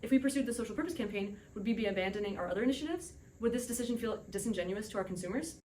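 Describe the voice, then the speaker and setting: A woman's voice: medium pitch, lively intonation, rapid-fire, darkish tone. A woman making a scripted, formal argument as a businesslike debate panelist. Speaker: debate panelist playing an executive.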